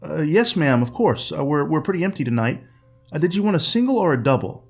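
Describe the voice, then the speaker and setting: A man's voice: fairly high pitch, very animated, fairly quick, creaky, soft background music, heavily acted. A man voicing a nervous young night clerk who stammers and hesitates. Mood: bewildered.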